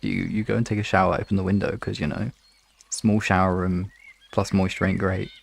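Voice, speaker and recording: A man's voice: sits low, level tone, fairly quick, vocal fry. A man chatting casually with his audience in an informal, rambling way, swearing now and then. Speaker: ASMR streamer chatting with an audience. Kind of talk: casual chat, reading and answering comments.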